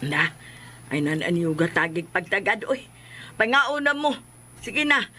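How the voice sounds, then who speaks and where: A woman's voice: mid-range voice, big pitch swings, measured pace, sharp tone, breathy edge, heavily acted. A woman with a Cebuano Filipino accent playing a bustling, opinionated homemaker in a comedy drama.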